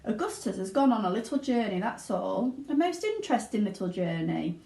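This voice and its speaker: Storybook eccentric factory boss, woman reader acting him: male, excitable, emphatic, animated intonation, roomy sound. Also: medium pitch, a little fast, vocal fry. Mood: soothing. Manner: emphatic, exclamatory, fussy. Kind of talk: children's storybook reading. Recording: roomy indoor echo.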